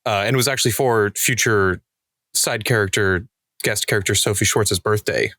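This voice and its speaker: A man's voice: lowish voice, normal speed, bright, forceful tone, no room sound. A man chatting casually as a podcast cast member, with a wry, dry sense of humor. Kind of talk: casual chat.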